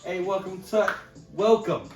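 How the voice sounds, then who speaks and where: A man's voice: sits high, steady tone, unhurried, echoey room, recorded from a distance, over music. A man from Chicago hosting a casual podcast, loose and hyped, swearing freely. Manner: loose, hype, swears a lot. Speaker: podcast host. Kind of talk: casual chat.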